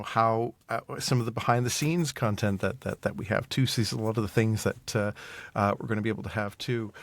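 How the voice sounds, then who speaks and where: Gravelly man, dry close sound. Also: lowish voice, no room sound. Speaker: audio drama producer, interview guest. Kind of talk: casual explanatory interview talk.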